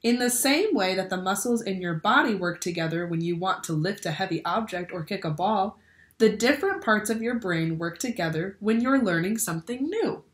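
A young adult woman reading a picture book aloud to children in a deliberate, instructive way. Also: medium pitch, animated. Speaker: teacher or librarian reading to children. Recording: echoey room.

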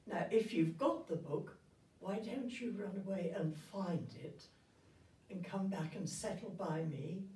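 An elderly woman reading a storybook aloud to children in a gentle, grandmotherly and expressive way. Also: fairly low pitch, a little slow. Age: 70s or older. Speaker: retirement village resident reading a story. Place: indoor room with festive backdrop. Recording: roomy sound, distant microphone.

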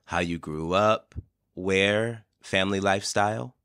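A man hosting an interview podcast, introducing a guest and asking warm follow-up questions over a remote call. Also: sits low, a little slow, clear, resonant tone, no echo. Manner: lively intonation, supportive listener. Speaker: podcast host.

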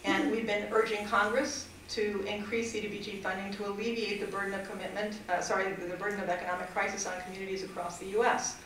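A woman's voice: medium pitch, flat delivery, fast, few pauses, fairly bright tone, a little hiss or hum. A middle-aged woman advocating for fair housing at a public hearing, earnest and hesitant, with many fillers and restarts. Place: council chamber. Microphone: desk mic at a distance. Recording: echoey chamber, far from mic.

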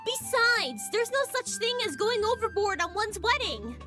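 A young cartoon hedgehog girl, bossy and emphatic. The voice is extremely high and animated, gushing over music. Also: moderate pace. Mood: gushing, insistent. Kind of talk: fan comic dub.

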